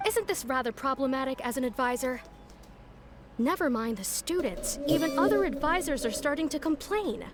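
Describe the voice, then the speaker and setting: An exasperated female official in a video game. The voice is high with lively swings in pitch, keeping a formal politeness while pressing the point. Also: moderate pace, music in the background.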